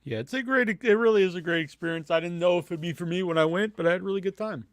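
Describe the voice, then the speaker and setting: A man hosting a podcast in casual chat, informal and low-key, with a hesitant delivery. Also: very fast, dry recording, clean studio sound. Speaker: podcast host.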